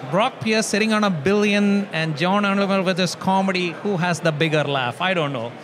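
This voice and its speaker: High-voiced man asking rhetorical questions. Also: moderate pace, a crowd in the background. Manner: argumentative, uses rhetorical questions. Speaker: interview guest, investor. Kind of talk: conversational interview. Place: large hotel hall at a conference. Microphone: handheld mic. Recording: noisy background.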